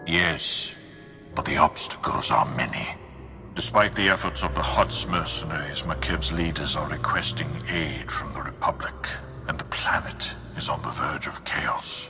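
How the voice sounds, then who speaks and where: Very deep, grim dark lord. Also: male voice, full character voice. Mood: stern, explaining. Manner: grave, formal, measured. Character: grim commanding dark lord of an empire at war. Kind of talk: scripted video game acting. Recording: game music behind the voice.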